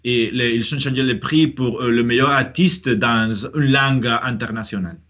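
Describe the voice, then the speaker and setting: A man with a Spanish accent and a forceful, bright tone, hosting a relaxed conversation. He talks haltingly, with frequent fillers and restarts. The recording sounds dull and lo-fi. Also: mid-range voice. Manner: hesitant, many fillers and restarts. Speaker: host. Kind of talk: casual conversational chat.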